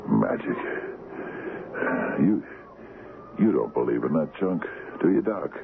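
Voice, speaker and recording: A dying, stubborn sea captain in an old radio drama. His extremely deep voice is heavily creaky, weary and halting, dragging slowly through repeated words on a thin broadcast recording. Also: sing-song, full character voice. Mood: weary resignation. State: weak, weary, faltering. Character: stubborn, gravely ill ship's captain. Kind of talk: 1970s radio drama acting. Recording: narrow phone-like old radio broadcast, loud noise.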